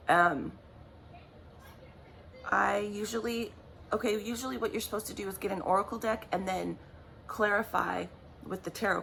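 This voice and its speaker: A woman giving a tarot reading in a chatty, rambling way, apologizing often, her voice raspy from just waking up. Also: mid-range voice, a bit bright, a little hiss or hum. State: raspy morning voice. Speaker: tarot reader. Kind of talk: casual vlog talk.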